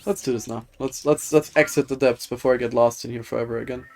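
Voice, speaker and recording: A woman's voice: rumbling low, fairly even intonation, fast talker, a little mellow, a little hiss or hum. A woman game streamer chatting casually and thinking aloud in a rambling, hesitant way. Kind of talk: casual livestream chat. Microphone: streaming setup with webcam. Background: music.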